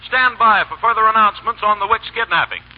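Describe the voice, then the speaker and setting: An actor playing an official radio news announcer, formal, emphatic and urgent, in 1930s bulletin style. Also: male voice, high-pitched, fast, phone-quality sound, soft background music, natural voice. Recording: band-limited 1930s radio broadcast.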